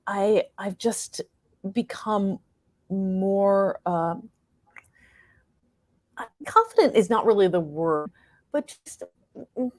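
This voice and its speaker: A woman's voice: medium pitch, darkish tone, no echo, studio quality. A woman being interviewed as a playwright and performer, speaking reflectively and earnestly, often searching for her words.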